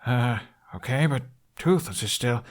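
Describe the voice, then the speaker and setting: An earnest teenage boy from a fantasy audiobook, acted out by a man. He sounds baffled and argues back hesitantly. His pitch jumps around in a very lively way. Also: a little slow, close to an everyday voice. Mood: confused, doubtful. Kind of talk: audiobook character acting.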